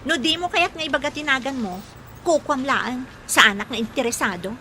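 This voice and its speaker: An actress with an Ilocano accent playing a snooty, sharp-tongued young woman in a radio drama. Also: high-pitched, brisk, slight background noise. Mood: haughty.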